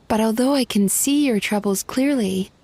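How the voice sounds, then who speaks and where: Calm dream-eating psychologist. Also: female voice, on the high side, no room sound. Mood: gentle. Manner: soft, measured and reassuring. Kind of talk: video game voice acting. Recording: faint game music behind.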